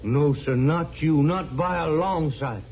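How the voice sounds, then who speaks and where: A resentful, bereaved frontiersman with a fairly high voice, curt in every phrase. The old radio western recording is dull and slightly noisy. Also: measured pace. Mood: bitter. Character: bitter, bereaved frontier son refusing help.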